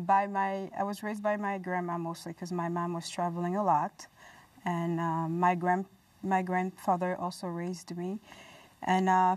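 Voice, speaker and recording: A woman's voice: lowish voice, dry recording, recorded from a distance. A woman in her thirties or forties with a Haitian accent, giving personal testimony as a talk show guest. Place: small dark TV studio, indoors.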